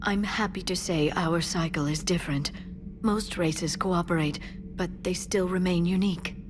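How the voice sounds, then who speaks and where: A woman playing a gentle, scholarly alien in a video game, thoughtful, hopeful and careful in speech. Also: fairly low pitch, fairly even intonation, moderate pace, music in the background, lightly acted. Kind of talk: video game character dialogue.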